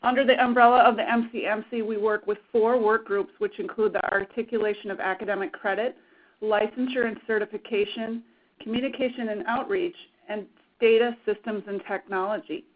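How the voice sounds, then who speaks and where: A woman moderating a webinar, reading a formal scripted introduction in a brisk manner. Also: medium pitch, steady tone. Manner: brisk, formal, reads from notes. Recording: tinny phone-line webinar audio.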